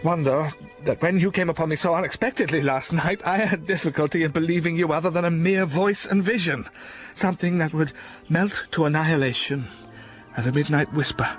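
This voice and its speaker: A man playing a brooding blind older gentleman. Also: fairly high pitch, a little fast, soft background music, natural voice. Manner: intense, impassioned. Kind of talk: radio drama acting.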